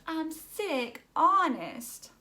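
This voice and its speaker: A woman's voice: high voice, sing-song, drawn-out words, bright, forceful tone, voice pushed up, exaggerated voice. A woman voicing an upset young girl from a children's novel, insistent and emphatic in protest. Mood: pleading.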